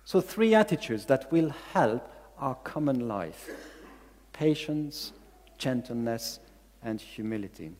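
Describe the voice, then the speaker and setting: A man with a Belgian accent preaches in English, his second language, with an earnest delivery that leans on repeated phrases. His voice sits at an ordinary middle pitch. The sound carries a long reverb, as in a large hall. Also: sing-song, slow, darkish tone. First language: not English. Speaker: pastor. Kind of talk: sermon.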